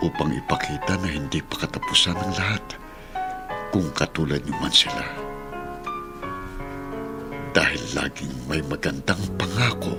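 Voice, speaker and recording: An actor playing a kindly, devout older uncle in a Filipino radio drama, speaking in a gentle, solemn, reassuring way. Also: male voice, very deep voice, normal speed, vocal fry, loud music behind the voice. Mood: solemn, hopeful.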